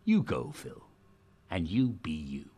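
A man acting in a darkly comic radio drama as a cynical, self-important show host, with a gravelly, husky voice and a theatrical, sardonic manner. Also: very deep voice, huge pitch swings, slow pace, mellow, full character voice. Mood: dismissive. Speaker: actor playing a radio host.

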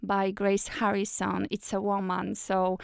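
A woman from Poland, a guest on a radio interview, explaining at length and pausing often with fillers. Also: medium pitch, completely flat delivery, creaky, clear, resonant tone, no room sound, studio quality. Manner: thoughtful, many hesitation fillers. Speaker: guest actress. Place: radio studio.

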